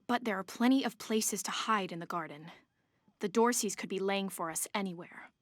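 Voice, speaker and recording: A woman's voice: mid-range voice, moderate pace, no room sound, studio quality, close to an everyday voice. An actress voicing a haughty, determined young woman of a rich family in a video game, formal and self-assured. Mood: resolute.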